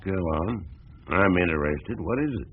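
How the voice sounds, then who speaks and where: An actor playing a proud, folksy older American small-town businessman in a radio drama, with a husky, gravelly voice and a plain-spoken manner. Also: low-pitched, lively intonation, moderate pace, phone-quality sound, slight background noise. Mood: probing, shrewd.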